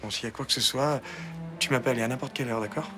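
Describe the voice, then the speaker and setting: A worried, protective man, as an actor plays him talks very fast at a middle pitch. He is anxious and hesitant, stumbling over his words in a TV soap scene. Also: fairly even intonation, loud music behind the voice, close to an everyday voice. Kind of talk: soap opera acting.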